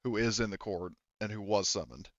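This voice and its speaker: A man running a tabletop roleplaying game as game master, explaining casually and haltingly, often repeating and rephrasing himself. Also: fairly low pitch, dry recording, muffled recording.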